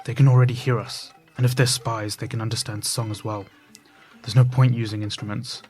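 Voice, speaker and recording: An actor playing a world-weary failed musician in hiding, fatalistic and bitter, in an audio drama dialogue. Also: male voice, lowish voice, flat delivery, fairly quick, a little mellow, lightly acted. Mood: resigned, hushed. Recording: music bed under voice.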